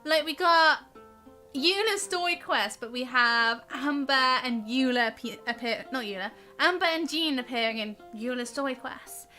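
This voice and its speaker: British girl streaming a game, chatty and animated, high bright voice, faint music behind. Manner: giggly, animated, exclamatory. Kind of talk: casual chatty gameplay commentary.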